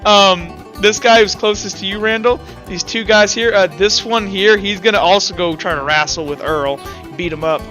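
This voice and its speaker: A man's voice: high voice, normal speed, bright, forceful tone. A man narrating a tabletop roleplaying game as game master, casual, slangy and improvising as he goes, with music playing.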